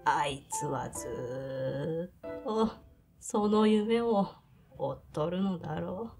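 A woman's voice: fairly low pitch, animated, gravelly, music in the background, heavily acted. An actress playing a plain-spoken grandmother in her eighties in an audio drama, reminiscing. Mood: tender. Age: 80s. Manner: slow, reminiscing, folksy. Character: elderly Japanese grandmother, plain-spoken, reflective, devoted to family.

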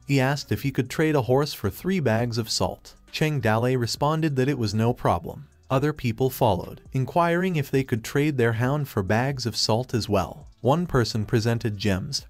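A man reads out a long story recap at a middle pitch, neither high nor low. His delivery is steady and even, moving through scenes and reported dialogue in a level storytelling tone. It sounds like scripted narration rather than free talk. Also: no echo.

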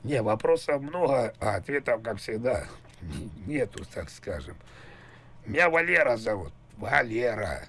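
A man in his fifties making a prank phone call, talking in a rambling, halting, insistent way full of innuendo. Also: mid-range voice, very animated, a bit bright. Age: 50s. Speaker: prank caller. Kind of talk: casual phone prank conversation.

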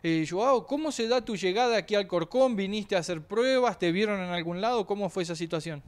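A man's voice: high voice, a little fast. A man with a South American Spanish accent asking casual questions as an interviewer.

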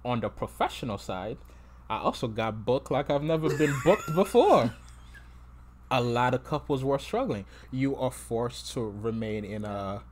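A man in his thirties from Haiti, a guest therapist chatting on a podcast in a studio mic, relaxed and joking with frequent laughs. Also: mid-range voice, moderate pace, a little hiss or hum. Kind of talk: casual podcast chat. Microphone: studio mic with headphones.

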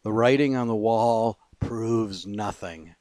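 A man reading poetry as a poet, in measured, deliberate phrases with pauses. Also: lowish voice, a little mellow, no room sound.

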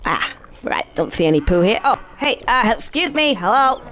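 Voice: mid-range voice, very animated, moderate pace, fairly bright tone, slight background noise. A performer voicing an easygoing cartoon squirrel hero in a video game, wry and hesitant, muttering asides to himself over music. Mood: wry, puzzled. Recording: music underneath.

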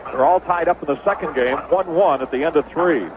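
Higher-voiced American baseball radio announcer, man. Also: fairly quick, a crowd in the background. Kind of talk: live 1970s radio baseball commentary. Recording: dull, noisy old AM radio broadcast.

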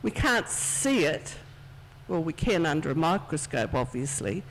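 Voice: medium pitch, big pitch swings, a little slow, a bit bright, a little hiss or hum. A speaker giving a children's talk in church, chatty and conversational as they tell a story. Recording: distant, reverberant church hall sound.

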